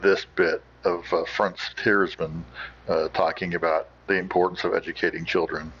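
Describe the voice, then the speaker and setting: Male host, very low voice, crackly fry, casual commentary, halting delivery, slightly dull sound. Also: flat delivery. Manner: halting, thinking aloud. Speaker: podcast host.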